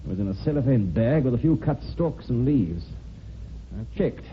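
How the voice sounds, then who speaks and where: Man playing animated police inspector, old noisy recording. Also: moderate pace, close to an everyday voice. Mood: businesslike. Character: shrewd, businesslike male police inspector. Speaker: actor in a radio mystery. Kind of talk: old-time radio drama acting. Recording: rumbly, noisy old narrowband radio recording.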